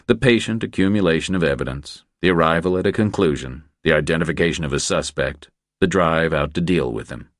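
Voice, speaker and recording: A man reading a crime thriller as an audiobook narrator, in measured, deliberate scripted narration. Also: extremely low pitch, dry recording, studio quality.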